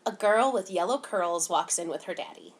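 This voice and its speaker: A woman reading a picture book aloud to children in an expressive, animated way, giving emphasis to the dialogue. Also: on the low side, normal speed. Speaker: children's story time reader.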